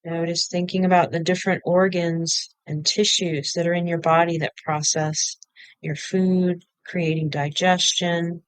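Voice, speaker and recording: A woman leading a guided meditation, giving gentle step-by-step instructions in short phrases with pauses between them. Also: fairly low pitch, flat delivery, smooth, no room sound, rough recording. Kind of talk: guided body-scan meditation.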